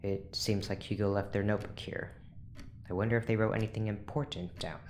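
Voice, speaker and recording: An actor playing a weary, irritable scientist in charge, curt and sarcastic, in an audio drama. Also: male voice, on the low side, steady tone, fairly quick, noisy background, close to an everyday voice. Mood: weary, exasperated. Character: weary, irritable scientist boss running secret experiments.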